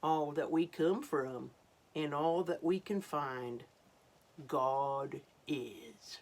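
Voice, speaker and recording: A woman voicing a wise, gentle old female turtle from a children's storybook, speaking solemnly and deliberately. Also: low-pitched, full character voice. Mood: solemn, fervent. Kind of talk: storybook read-aloud for children.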